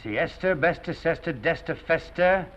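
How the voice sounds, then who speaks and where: A man playing a genial, decisive movie studio boss with a gruff joking streak in a 1930s Hollywood film. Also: a little high, lively intonation, unhurried, fairly bright tone. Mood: brisk, musing. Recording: dull old film soundtrack.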